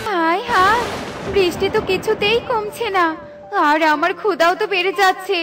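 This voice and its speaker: A woman's voice: very high-pitched, normal speed, music in the background. A woman voicing a hungry, impatient, demanding female crow in a children's cartoon, with a whiny, insistent manner. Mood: whiny, desperate. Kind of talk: Bengali children's cartoon voice acting.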